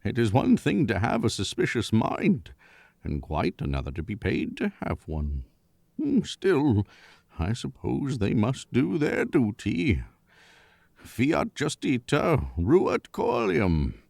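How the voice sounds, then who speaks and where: Elderly scholar for radio mystery, played by a man: gravelly, low, pedantic, courteous, with wide expressive swings. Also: no echo, full character voice. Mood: wry, weary. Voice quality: creaky. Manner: measured, formal, pedantic. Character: dignified, learned elderly professor, dryly courteous father. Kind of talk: 1940s-style radio mystery acting.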